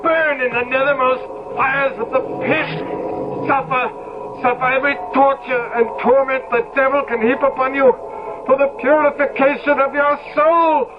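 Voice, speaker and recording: A man's voice: extremely high pitch, little pitch movement, normal speed, music in the background. An actor playing a decadent, tormented man in a 1970s radio drama, with theatrical, declamatory delivery on a muffled, noisy old recording. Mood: frenzied rage.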